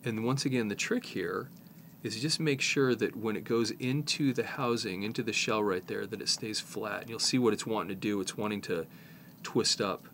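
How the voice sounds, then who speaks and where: A man in his fifties with a fairly low voice and a slight head cold talks through a hands-on job. He is informal and halting, explaining as he works, with noticeable background noise around him. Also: fairly bright tone. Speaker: presenter, tape repair technician. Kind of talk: casual hands-on tutorial.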